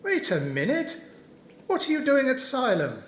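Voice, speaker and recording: A woman's voice: sits high, wildly swooping pitch, normal speed, reverberant, a little hiss or hum. A woman playing a wary, reclusive attic dweller in an audio drama, speaking curtly. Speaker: amateur actor. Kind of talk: community audio drama acting.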